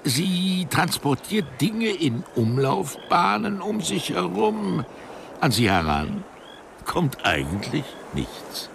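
An actor playing an eccentric, precise biologist who speaks in a deliberate, philosophical way in a German radio play. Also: male voice, a little high, sing-song, gravelly, a bit bright, slight background noise, heavily acted. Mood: coolly musing. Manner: deliberate, pedantic, musing.

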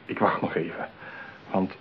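A man's voice: measured pace, close to an everyday voice. Actor as lonely possessive man, tinny old recording. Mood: tender, gloating. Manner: theatrical, talking aloud to his silent bride. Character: lonely, possessive man besotted with his mechanical bride. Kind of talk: radio play acting. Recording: tinny narrow-band radio play, clear hiss.

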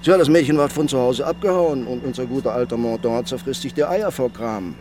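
An actor playing a blunt, mocking police detective with a coarse manner in a radio crime drama. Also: male voice, medium pitch, brisk, darkish tone, slight background noise, lightly acted. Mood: sardonic. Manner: coarse, sardonic.

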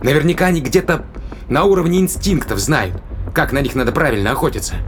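A man's voice: on the high side, fast talker, slight background noise, close to an everyday voice. An actor playing a determined, risk-taking man in an audio drama, arguing insistently and persuasively with rhetorical questions. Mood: insistent, fervent. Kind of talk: dramatic audio play acting.

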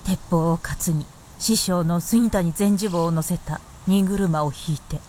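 A woman's voice: fairly low pitch, a little slow, mellow, a little hiss or hum, close to an everyday voice. A woman narrator delivering scripted storytelling narration for a Japanese radio drama.

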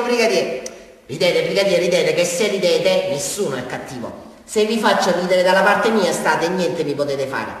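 A jittery, childlike man confessing to a crime, with a southern Italian accent and a fairly high voice. He rambles in colloquial stage monologue, halting and repeating himself. The sound is distant, swimming in the heavy echo of a large hall. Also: heavily acted. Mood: agitated, mocking. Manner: halting, repetitive, rambling, swinging between hushed and loud. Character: nervous, childlike, rambling male murder suspect confessing. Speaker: stage actor in a radio broadcast of a monologue. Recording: very echoey hall, far from mic.

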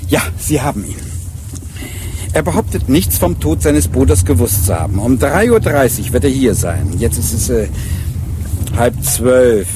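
An actor playing a courteous, shrewd police inspector in a German radio crime drama, speaking in a measured, reasoning way. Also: male voice, medium pitch, sing-song, noisy background. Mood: brisk, businesslike. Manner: measured, polite, reasoning aloud.

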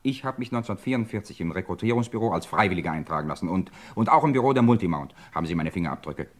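Radio crime play: cornered suspect, lowish husky voice, swinging from pleading to sardonic. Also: male voice, expressive, rapid-fire. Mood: urgent, pleading. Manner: urgent, sardonic, emotional. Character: accused man, desperate, exasperated, sardonic. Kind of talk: German radio crime drama acting.

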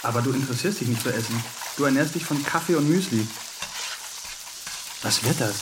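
A man's voice: mid-range voice, a little fast, background noise, natural voice. An actor playing a suspicious man with a blunt, probing manner in a naturalistic radio drama. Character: suspicious, blunt man questioning his lover.

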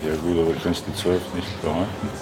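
Extremely low-pitched guest over music. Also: level tone, quick pace. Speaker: guest checking in. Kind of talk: informal conversation. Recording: clear music, clattering dishes behind.

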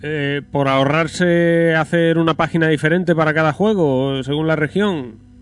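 A man's voice: on the high side, moderate pace, clean tone. Man, podcast co-host, blunt, skeptical, casual, faint background music. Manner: blunt, swears, skeptical, laughs often. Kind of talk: casual gaming chat.

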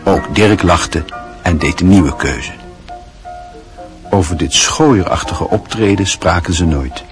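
A man narrating a radio play in a measured storytelling manner. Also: low voice, soft background music.

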